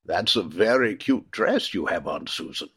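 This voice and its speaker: An actor playing a kindly, sincere old Santa Claus in a radio play, gentle and courteous, with a husky voice. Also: male voice, lowish voice, expressive, moderate pace, heavily acted. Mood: kindly, earnest. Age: older. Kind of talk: comedic radio play acting.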